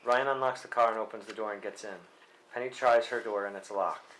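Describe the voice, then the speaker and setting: A man's voice: fairly low pitch, steady tone, brisk, a bit bright. A man plainly reading stage directions at a script table read, now and then stumbling over a word. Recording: faintly noisy live room recording.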